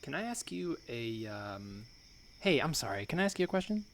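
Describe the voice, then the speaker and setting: A man's voice: mid-range voice, sing-song, moderate pace. A man playing a curious, nervous stranger in improvised comedy, stammering and over-apologizing as he speaks. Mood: awkward, apologetic. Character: awkward, hesitant, apologetic curious stranger on park bench. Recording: noisy remote recording.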